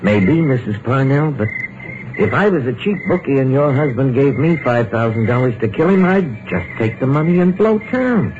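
A man's voice: medium pitch, sing-song, moderate pace, close to an everyday voice. A man playing a blunt bodyguard on old radio. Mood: matter-of-fact. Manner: matter-of-fact, slangy. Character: plain-talking hired bodyguard. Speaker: hired bodyguard. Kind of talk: 1950s radio drama acting. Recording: dull, faintly hissy old radio broadcast.

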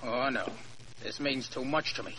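An actor playing a stubborn, combative young racing driver in 1940s radio drama style. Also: male voice, medium pitch, moderate pace, pushed and bright, lightly acted. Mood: insistent. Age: young adult. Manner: insistent, combative. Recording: noisy old radio recording.

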